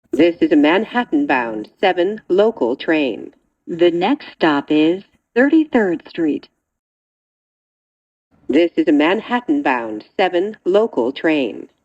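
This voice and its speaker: Slow, swooping female train announcer. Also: fairly low pitch, big pitch swings, dull audio. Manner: measured, clearly segmented station announcing. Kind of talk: scripted transit announcement.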